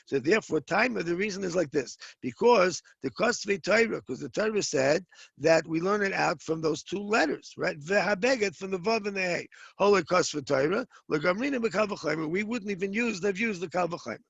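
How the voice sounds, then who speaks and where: A middle-aged rabbi gives an informal Talmud lesson in a somewhat high, gravelly and husky voice. He works through the text step by step, restarting phrases often. The sound is dry and close. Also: lively intonation, brisk, fairly bright tone, no echo, highs cut off. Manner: explains step by step, many restarts, Hebrew and Aramaic terms mixed in. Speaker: rabbi teaching a Talmud class.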